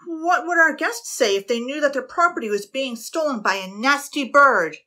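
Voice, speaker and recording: A woman's voice: mid-range voice, expressive, slow pace, pushed and bright, exaggerated voice. A woman voicing a jealous, pompous male ship captain who blusters indignantly.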